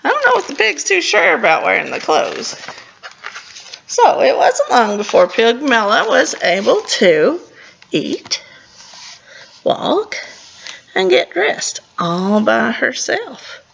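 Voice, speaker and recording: A woman reads a children's storybook with lively, swooping pitch. Her voice is bright and heavily creaky, and she drops in chatty asides about the pictures. The recording is a little dull and lo-fi. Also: slow, long pauses, slight background noise. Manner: expressive, stumbling over words and commenting as she goes. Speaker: librarian reading a storybook to children. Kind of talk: read-aloud storytime with casual asides on the pictures.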